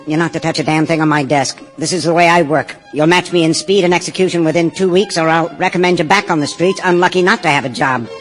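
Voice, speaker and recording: Brash high-voiced young architect. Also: male voice, long reverb tail. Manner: blunt, sarcastic, forceful declarations. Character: brash, ambitious, arrogant young male architect, impatient and cutting. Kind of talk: audiobook stage drama acting.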